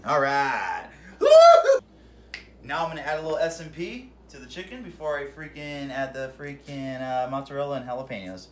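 A man's voice: mid-range voice, normal speed, heavy background noise. A man in his thirties hosting a goofy home cooking demonstration, joking around and swearing as he walks through each step, recorded on a camera mic a few feet away in a small kitchen. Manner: jokey, swears, keeps saying "freaking". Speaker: home cooking show host. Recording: roomy kitchen echo.

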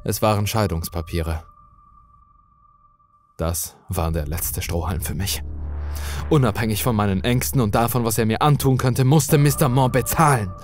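A man's voice: lowish voice, normal speed, pauses often, soft background music. A man reading a horror story as dramatic scripted narration.

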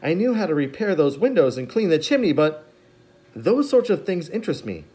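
A man voicing a steady, caring teenage girl in a storybook reading. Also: a little high, fairly quick, voice pushed up. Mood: thoughtful.

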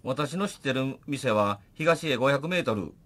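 A man's voice: mid-range voice, lively intonation, measured pace, a bit bright. A man playing a courteous, solicitous funeral director in a comic radio drama. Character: courteous, solicitous male funeral company president.

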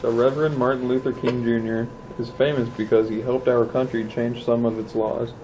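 A man reading a children's book aloud in a plain, measured manner. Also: medium pitch, little pitch movement, mellow, rough recording. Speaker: storybook reader. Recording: faint background noise.

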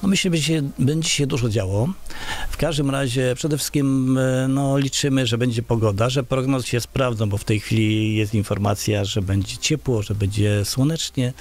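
A middle-aged man speaks into a large studio microphone on a boom arm in a small radio studio. He answers as an interview guest, halting often with filler sounds and restarting his sentences. His pitch sits in the middle range for a man. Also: a little mellow. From Poland. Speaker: village head, interview guest.

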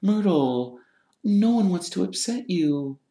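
A reader voicing a brisk, sensible and slightly bossy schoolgirl. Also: medium pitch, very animated, darkish tone, voice pushed up. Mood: placating. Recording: roomy echo.

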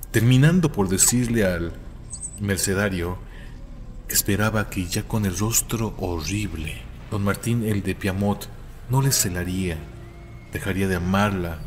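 Deep-voiced man narrating over background ambience. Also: a little mellow, slight background noise. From Mexico. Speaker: narrator of horror legends. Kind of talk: scripted storytelling narration.

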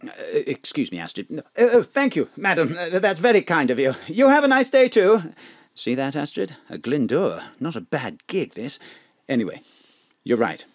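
An actor playing a furtive, fussy top civil servant, conspiratorial and animated in a comic audio drama. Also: male voice, fairly high pitch, moderate pace, no echo, clean studio sound, full character voice. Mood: confiding.

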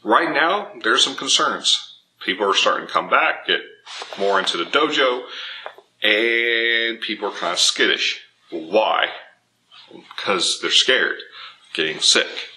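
A man in his thirties giving informal, animated, emphatic instruction to camera as a martial arts teacher. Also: lowish voice, slow pace, a little hiss or hum, low-quality recording. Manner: animated, emphatic, acts out imagined objections. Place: indoor dojo with bare walls and wood floor.

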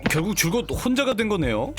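A man's voice: high-pitched, sing-song, fast talker, fairly bright tone. A man hosting a live game stream, playfully mixing casual chat with reading the story aloud. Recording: music playing behind the voice.

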